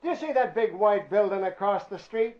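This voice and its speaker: High-pitched, slangy city editor. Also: male voice, animated, brisk. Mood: worried, pleading. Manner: slangy, blustery. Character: wisecracking, worried male newspaper city editor. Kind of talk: old-time radio drama acting. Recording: dull vintage radio broadcast.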